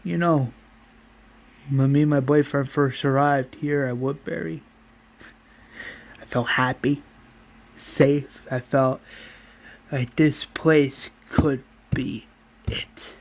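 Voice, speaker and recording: A man's voice: medium pitch, fairly even intonation, creaky, dull audio. Man playing a pleading, grieving young mother. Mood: pleading, tearful. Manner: halting, imploring, repeats phrases.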